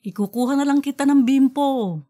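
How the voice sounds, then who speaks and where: A woman voicing a caring, excitable middle-aged wife in an audiobook story, fretful and coaxing in manner. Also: high-pitched, fairly quick, soft background music, pitched above the performer's own voice, natural voice. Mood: soothing. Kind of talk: audiobook character voice.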